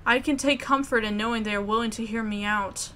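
Expressive woman reading a game aloud. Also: a little high, fairly quick, slight background noise. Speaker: gamer reading a visual novel aloud. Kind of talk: narration with casual commentary.

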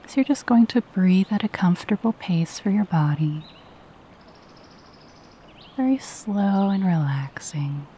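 A woman guiding a meditation in a soft, breathy voice, with a gentle, soothing and unhurried delivery. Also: mid-range voice, expressive, a little hiss or hum. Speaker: meditation guide. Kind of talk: guided meditation with spoken affirmations.

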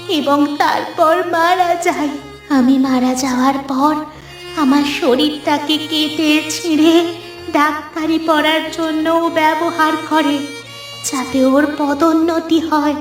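A woman voicing the vengeful ghost of a wronged young woman in a scripted horror cartoon, with a deliberate, aggrieved delivery. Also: sits high. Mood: mournful. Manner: slow, deliberate, grievance-laden delivery. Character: vengeful female ghost of a poor starved murdered girl. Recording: echoey, distant voice over music.

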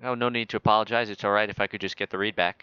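A man's voice: fairly low pitch, steady tone, racing, bright, forceful tone, clear, resonant tone, no room sound, highs cut off. A man talking as an air traffic controller in a flight simulator game, joking in mock-official radio phrasing.

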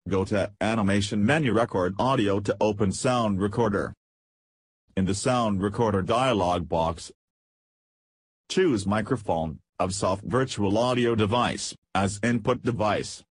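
Husky man narrating a software tutorial. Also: lowish voice, measured pace, no echo.

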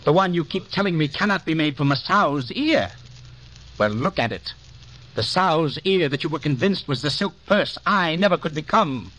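Casting: bitter, showy man of wealth, bright and animated, theatrical radio-play sneer. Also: a little high, normal speed. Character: bitter, mocking, wealthy host, cruelly theatrical. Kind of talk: 1950s radio drama acting. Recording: noisy vintage radio recording.

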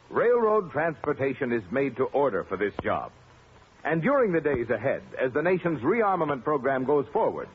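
An American man announcing formally from a script on 1950s radio, measured and emphatic. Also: a little high. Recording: narrow, faintly noisy 1950s radio broadcast.

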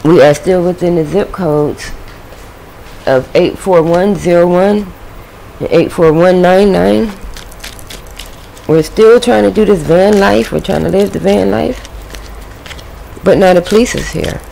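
A woman's voice: on the low side, measured pace, creaky, pauses often, warm tone, slight background noise, rough recording. A woman doing a casual tarot reading at a home table, chatting to the spirit and her viewers. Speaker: tarot reader.

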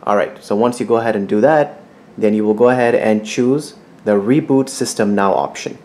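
A man's voice: lowish voice, animated, normal speed, darkish tone. A man giving step-by-step instructional narration as a tech tutorial presenter, in a plain, methodical, informal way. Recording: clearly audible background noise.

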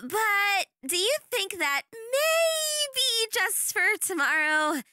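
A woman voicing a sweet, earnest young female demon in a cartoon, gentle and effusive. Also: very high-pitched, sing-song, very slow, no echo, clean studio sound, heavily acted. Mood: hesitant, tactful.

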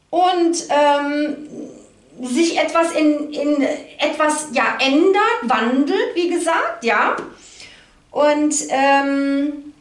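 A middle-aged woman giving an informal card reading to viewers, conversational and reassuring, often checking in with little tag questions. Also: high-pitched. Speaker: card reader, host. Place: indoor room, at a card table. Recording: echoey room sound.

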